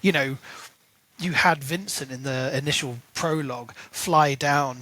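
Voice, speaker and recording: Animated man, fairly high voice. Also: rough recording. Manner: animated, acts out characters' lines in quick asides. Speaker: podcast co-host. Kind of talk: casual book discussion.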